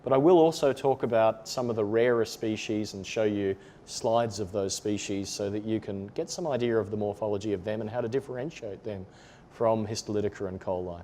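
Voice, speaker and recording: A man with an Australian accent presenting a teaching webinar, explaining in a measured way with occasional ums. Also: fairly low pitch, warm tone, a little hiss or hum. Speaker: lab trainer presenting a webinar. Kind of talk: webinar lecture.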